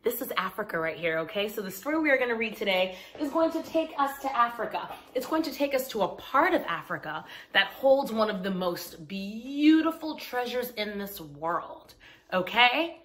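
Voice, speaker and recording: High-pitched woman hosting children's story time. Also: very animated, normal speed, a bit bright. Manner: addresses listeners directly, simple explanations with check-in questions. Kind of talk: children's story time, talking and reading aloud to young viewers.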